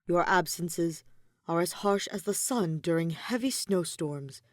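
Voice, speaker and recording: A woman voicing an earnest, tender young man in love, speaking with gentle formality in a story reading. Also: lowish voice, a little slow, dry recording, studio quality, natural voice. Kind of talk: audiobook-style fan fiction character reading.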